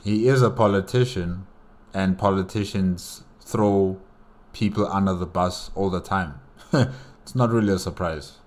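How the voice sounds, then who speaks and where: Low-pitched man giving casual reactions. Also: measured pace, darkish tone. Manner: informal, repeats himself. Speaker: reaction video host. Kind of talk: casual solo reaction commentary.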